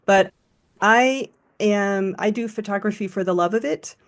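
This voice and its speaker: A woman's voice: medium pitch, a little slow. A woman from the United States, a podcast guest, talking in a chatty and reflective way. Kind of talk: casual interview chat.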